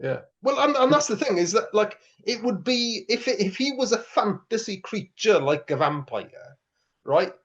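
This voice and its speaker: Dry-witted high-voiced man. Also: lively intonation, moderate pace, a bit bright. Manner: dry, jokey, backchannels a lot. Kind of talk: casual film chat. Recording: dull lo-fi mic.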